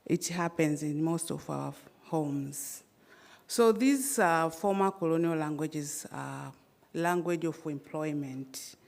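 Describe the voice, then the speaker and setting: Slow, low-voiced woman from Tanzania, first language Swahili; academic conference speaker, measured and hesitant, many fillers. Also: gravelly, a little mellow. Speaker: conference presenter. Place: conference hall.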